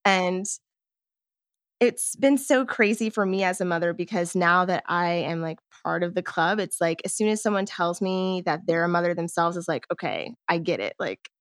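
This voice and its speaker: A woman's voice: lowish voice, normal speed, no room sound, studio quality. A woman hosting a podcast in a conversational interview style. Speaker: podcast host.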